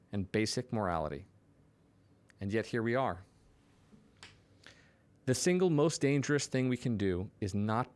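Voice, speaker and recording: Emphatic, low-voiced man delivering political commentary. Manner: emphatic, punchy, dramatic pauses between short phrases. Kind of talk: scripted monologue to camera, political commentary.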